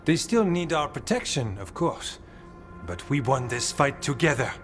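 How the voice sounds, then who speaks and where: An actor playing a gentle, earnest warden in a video game, with a halting, thoughtful delivery. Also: male voice, medium pitch, big pitch swings, music in the background. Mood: warm, encouraging. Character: gentle, earnest male warden, uneasily attuned to eerie evil. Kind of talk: dramatic video game acting.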